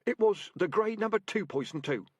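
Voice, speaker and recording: A jittery young male rat deputy who reports back in an earnest, anxious way, voiced by a man. His voice sits high and sounds a little set back from the mic. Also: expressive, moderate pace, husky, no echo, clean studio sound. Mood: nervous.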